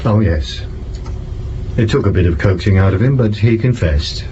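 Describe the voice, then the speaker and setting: An actor playing a gruff, sceptical and commanding French police commissioner in an audio drama. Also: male voice, on the low side, lively intonation, normal speed, dark tone, close to an everyday voice. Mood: weary, gruff. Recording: dull sound over noisy ambience.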